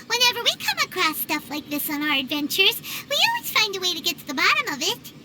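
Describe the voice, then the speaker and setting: A woman voicing a small, chirpy childlike fairy companion in a video game, with a squeaky, exclamatory and blunt way of talking. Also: very animated, fast talker, very noisy. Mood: curious. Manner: exclamatory, refers to self in third person. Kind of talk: animated video game voice acting.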